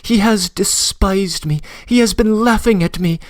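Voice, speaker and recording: A man's voice: high-pitched, unhurried, no room sound. A man voicing a passionate young woman who pleads earnestly, close to tears, in an audiobook reading. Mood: tearful pleading. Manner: trembling, earnest, breathless confession. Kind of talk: audiobook reading of a classic novel.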